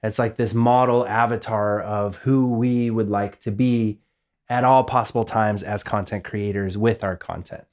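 A man's voice: lowish voice, fairly even intonation, unhurried, darkish tone. A man co-hosting a casual podcast, upbeat and enthusiastic as he explains ideas. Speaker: podcast co-host, audio and video producer.

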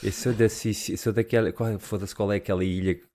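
A man's voice: lowish voice, fairly even intonation, fast, background noise. A man with a European Portuguese accent, co-hosting a podcast, rambling through jokes with the odd curse. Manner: rambling jokey banter with swearing. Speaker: podcast co-host. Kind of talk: casual chat.

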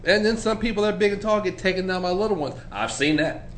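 A man's voice: sits high, quick pace, a little hiss or hum. A man hosting a casual podcast chat, telling stories and laughing often. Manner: laughs often, animated storyteller. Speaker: podcast host.

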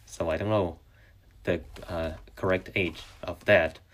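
Young man, Chinese native, very low voice. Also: steady tone, fairly bright tone. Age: young adult. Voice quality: halting, with frequent restarts and fillers. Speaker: podcast host. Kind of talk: solo podcast monologue.

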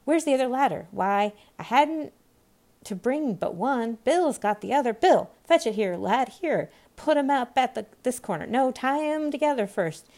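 A woman voicing bustling rustic workers in a storybook, shouting orders and squabbling with each other. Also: sits high, lively intonation, normal speed, voice pushed up. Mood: bustling, bossy. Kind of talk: storybook character voices.

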